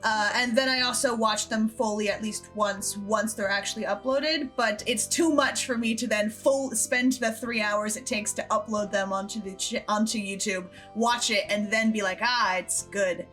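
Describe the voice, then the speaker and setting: A woman's voice: mid-range voice, fairly even intonation, normal speed, few pauses, a bit bright. A woman speaking as a show's creator and host in a casual group chat. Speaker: show creator, editor and host. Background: background music.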